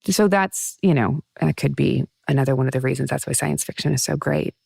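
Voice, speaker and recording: A woman's voice: deep voice, brisk, creaky, darkish tone, husky, no room sound, studio quality. A woman hosting a casual talk show, chatty and emphatic, thinking aloud as she talks. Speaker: podcast host. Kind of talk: casual interview chat.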